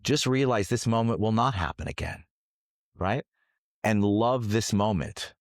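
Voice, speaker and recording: A man with a big voice co-hosting a podcast, affirming his partner with enthusiasm and telling stories in an animated way. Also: lowish voice, measured pace, no room sound, clean studio sound. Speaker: podcast co-host. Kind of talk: casual conversational chat.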